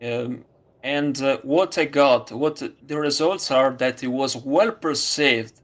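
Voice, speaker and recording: A man from Italy talks in English, not his first language. He speaks slowly, feeling for each word with plenty of pauses and restarts. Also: mid-range voice, fairly bright tone. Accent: Italian. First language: Italian. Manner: halting, many fillers and restarts. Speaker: student. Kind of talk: casual conversation.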